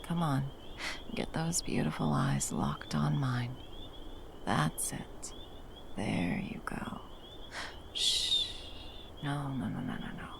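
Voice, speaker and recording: A woman's voice: rumbling low, vocal fry, exaggerated voice. A woman playing a battle-hardened monster hunter, violent yet a tender protective lover, in an intimate ASMR roleplay. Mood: soothing, worried. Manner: intimate, sweary, teasing and soothing endearments. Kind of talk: ASMR roleplay, intimate scripted monologue addressed to the listener.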